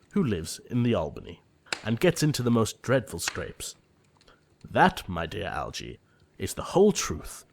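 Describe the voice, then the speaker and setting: A man playing an earnest, easily exasperated young upper-class gentleman in a period comedy audio play, speaking formally and precisely. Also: fairly low pitch, a little hiss or hum.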